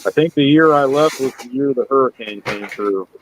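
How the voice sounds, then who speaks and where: A man in his thirties reminiscing in a relaxed casual chat. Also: medium pitch, moderate pace, a little hiss or hum, poor audio. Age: 30s.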